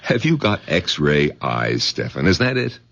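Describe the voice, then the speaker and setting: An actor playing an exasperated, skeptical doctor with a gravelly voice in a radio drama. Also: male voice, very deep voice, sing-song, unhurried, fairly bright tone, full character voice. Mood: incredulous. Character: exasperated, skeptical male doctor, worried son-in-law. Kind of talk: 1970s radio drama acting. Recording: dull, roomy old radio recording.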